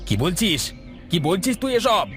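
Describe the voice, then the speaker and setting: A man voicing an eager, hot-tempered henchman of a village boss, excitable and blustering, in a cartoon moral story. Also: high voice, moderate pace, soft background music. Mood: angry, shocked.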